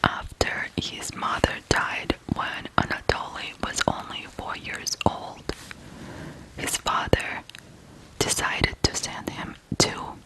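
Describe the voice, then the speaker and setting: A person from Ukraine telling stories in whispered ASMR style, softly and deliberately, pausing between short phrases. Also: rough recording. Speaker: ASMR true-crime host.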